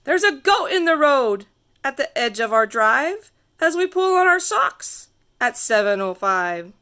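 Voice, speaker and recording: A woman reading a rhyming picture book aloud to children in a theatrical, sing-song way with drawn-out emphasis. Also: sits high, very animated, bright, forceful tone. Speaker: storybook reader.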